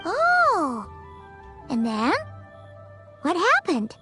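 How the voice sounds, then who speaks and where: Squeaky, excitable tiny childlike companion. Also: female voice, extremely slow, heavily acted. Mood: excited. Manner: exclamatory, eager, blunt questioning. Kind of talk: video game voice acting. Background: background music.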